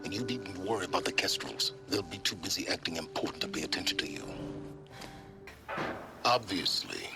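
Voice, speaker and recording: Game role: aloof, imperious male scholar, older, bass register, measured diction, loud score underneath. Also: animated. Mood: imperious, dry. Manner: measured, articulate, condescending. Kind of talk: video game dialogue acting. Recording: loud music behind the voice.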